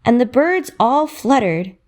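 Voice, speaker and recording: A woman narrating a children's bedtime story in a rhythmic, expressive way with dramatic emphasis. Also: on the high side, a little slow.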